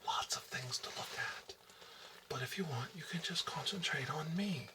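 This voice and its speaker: A man performing an ASMR role-play as an eerie, softly menacing technician, in a breathy whisper with lingering, theatrical, repetitive phrasing. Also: expressive, moderate pace, recorded from a distance, background noise. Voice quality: breathy whisper mixed with soft low speech. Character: eerie male memory removal technician, softly menacing, nostalgic. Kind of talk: ASMR role-play, intimate dramatic monologue.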